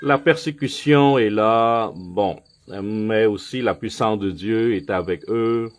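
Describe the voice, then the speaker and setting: A man with a fairly low voice preaches in measured, unhurried phrases. He is not a native speaker, so his French is careful and has small slips. He stops often to ask his flock "ok?" before going on. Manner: slow and deliberate, checks in with "ok?", many pauses and fillers. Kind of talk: sermon and Bible teaching.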